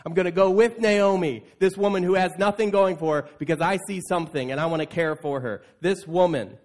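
A man with a fairly high voice delivers a sermon as a pastor. He talks conversationally to his congregation, telling the story in an animated manner and slipping into casual paraphrased quotes. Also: brisk. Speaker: pastor preaching. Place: church, speaking to an assembled congregation.

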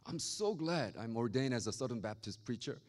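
A man from Korea with a Korean accent, preaching a sermon as a pastor and telling stories with lively acted-out voices. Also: medium pitch, moderate pace. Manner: animated, acts out quoted voices. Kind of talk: storytelling sermon.

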